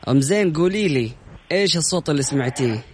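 A man's voice: on the high side, expressive, racing, slight background noise. A man with a Saudi accent presenting a morning radio show in a chatty, familiar, affectionate way. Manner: chatty, familiar, addresses listeners and callers affectionately. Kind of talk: lively radio presenting with a phone-in quiz. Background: music bed.

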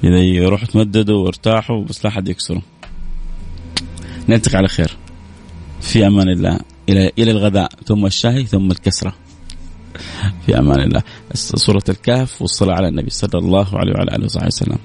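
A man's voice: low-pitched, steady tone, racing, creaky, pauses often, darkish tone. A man hosting a radio show, chatting casually and joking with his listeners as he signs off. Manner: chatty, joking, laughs often. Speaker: radio host.

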